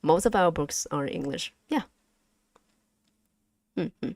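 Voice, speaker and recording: Vietnamese-accented young woman streaming a language lesson, low voice, slow and careful, lots of ums, dry close mic. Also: animated, a little mellow, dry recording. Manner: halting, slow and careful, with frequent fillers. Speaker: vtuber streamer teaching language. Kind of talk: casual language lesson to chat.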